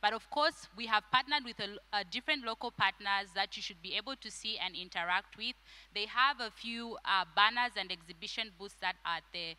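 A woman's voice: medium pitch, brisk, bright, forceful tone. A woman giving a friendly welcome speech on a conference stage into a handheld mic. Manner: friendly, with ums. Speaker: regional manager hosting an event. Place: stage in a large conference hall.

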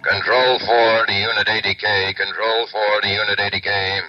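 An actor playing a commanding police chief directing units by radio, official and clipped, in 1940s radio drama style. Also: male voice, on the low side, little pitch movement, normal speed, a little hiss or hum, close to an everyday voice. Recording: muffled vintage radio, loud music behind.

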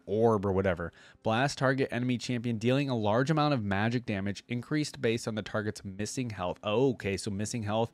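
Low-pitched young man, dry close mic. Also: dry recording. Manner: informal, thinking aloud. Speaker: reaction video host. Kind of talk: casual reaction commentary, partly reading text aloud. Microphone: close desk microphone on arm.

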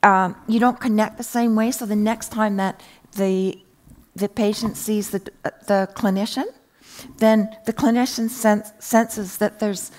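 Woman, 50s, research panelist; halting, filler-heavy, mid-pitched delivery. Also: fairly even intonation, darkish tone. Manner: hesitant, many fillers and restarts. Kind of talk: panel discussion. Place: indoor conference stage. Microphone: headset mic.

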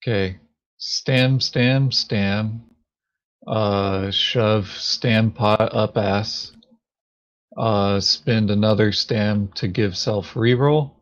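Very slow, deadpan man, lowish voice. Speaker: board game player. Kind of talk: casual gaming chat. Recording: dull, lo-fi voice chat microphone.

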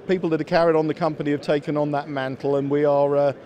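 A man's voice: on the high side, little pitch movement, fast, dark tone. A man in his fifties explaining products as a company executive in a trade show interview, often restarting and repeating words. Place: busy exhibition hall. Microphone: handheld interview microphone held by interviewer.

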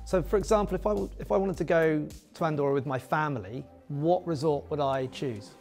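A man's voice: on the high side, fairly quick, gravelly, darkish tone, faint music behind. A middle-aged man hosting an interview, friendly and chatty, asking leading questions. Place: indoor studio set with wooden walls.

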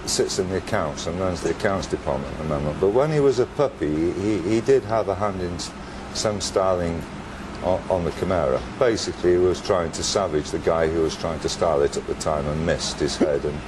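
A man in his fifties with an English accent, a company boss answering interview questions in a measured, understated way. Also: sits low. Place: indoor workshop, machinery noise behind. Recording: noisy workshop interview.

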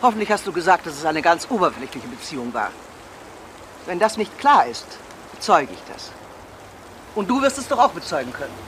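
A woman's voice: lowish voice, very animated, a little fast, long pauses. An older actress playing an imperious, agitated mother in a German crime radio drama, insistently firing questions. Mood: insistent, defensive. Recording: outdoor scene with loud traffic noise.

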